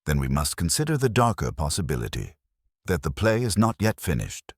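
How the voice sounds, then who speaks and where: A man voicing a shrewd, commanding Victorian detective in an audiobook, deliberate, probing and terse. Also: sits low, very animated, dry recording, studio quality. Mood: grave, deliberate. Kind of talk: audiobook character dialogue.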